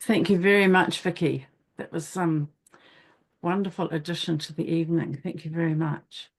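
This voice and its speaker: Low, creaky-voiced New woman hosting. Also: fairly even intonation, moderate pace. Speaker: host of an online poetry event. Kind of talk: informal spoken hosting.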